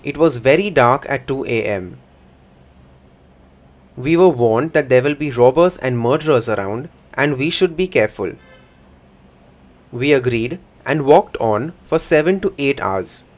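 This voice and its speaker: A man voicing a first-person interpretation for a signing speaker in a plain, measured way. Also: mid-range voice, expressive.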